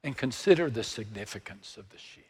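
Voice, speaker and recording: Older Texan man, pastor, sermon, measured delivery, hushed drops, fairly low voice, long reverb. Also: animated, husky. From Texas. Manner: slow, measured preaching with dramatic hushed drops to near whisper.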